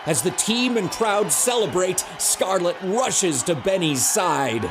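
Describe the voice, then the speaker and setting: Male narrator for dramatic scripted storytelling, slightly high voice, clear background noise. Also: expressive, takes it slowly, crowd noise.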